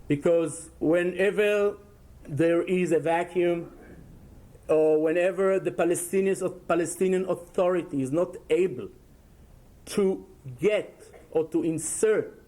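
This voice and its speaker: A man with an Israeli accent giving a university lecture and answering questions, speaking in a measured, deliberate way and repeating phrases. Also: on the high side, mellow. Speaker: guest lecturer. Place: lecture hall.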